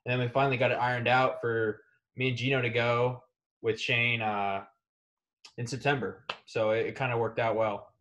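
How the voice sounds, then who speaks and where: A man's voice: mid-range voice, moderate pace, slight background noise, rough recording. A young man from Kansas City, a college wrestler, chatting casually in an interview with lots of fillers and restarts. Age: young adult. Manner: relaxed, rambling with many fillers.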